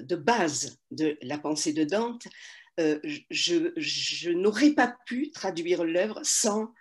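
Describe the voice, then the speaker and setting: An older woman speaks in a low, calm voice, answering an interviewer at a very slow pace. She hesitates often, restarts her phrases and searches for the right word. Her tone is measured and reflective. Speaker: literary translator, interview guest.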